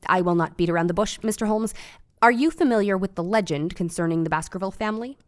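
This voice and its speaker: An actress playing an earnest, eccentric Victorian woman doctor in an audio drama, telling things in a formal, deliberate way. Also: medium pitch, dry recording, lightly acted. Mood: grave, storytelling.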